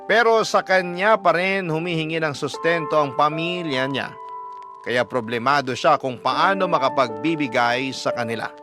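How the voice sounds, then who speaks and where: Guy acting young fiance narrating his love story, confessional and burdened, slightly high voice, big music bed. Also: lightly acted. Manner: confessional, plaintive, measured. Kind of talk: scripted first-person letter narration.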